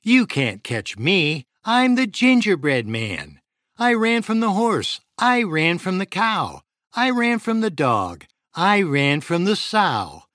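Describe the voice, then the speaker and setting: A man voicing a tiny, boastful gingerbread man who taunts in a shrill singsong. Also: a bit bright, dry recording, studio quality, full character voice. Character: tiny boastful gingerbread boy, cocky and fast.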